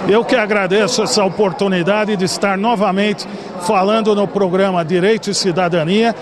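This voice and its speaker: Formal Brazilian official, high voice. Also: male voice, steady tone, crowd noise. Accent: Brazilian Portuguese, São Paulo. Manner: formal, measured, speech-like. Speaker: bar association culture director, guest. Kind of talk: TV interview answers. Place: large indoor ballroom party. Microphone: handheld mic held by interviewer. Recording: noisy ballroom.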